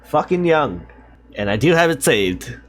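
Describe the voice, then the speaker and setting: A man's voice: medium pitch, very animated, a little slow. A man casually reacting to an album, rambling and hesitant, joking and now and then singing along. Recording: music playing clearly behind. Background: song playing loudly.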